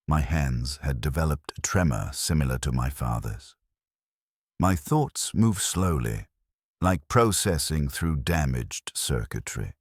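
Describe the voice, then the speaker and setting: Male narrator, extremely low voice, grave first-person storytelling, dry close sound. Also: dry recording.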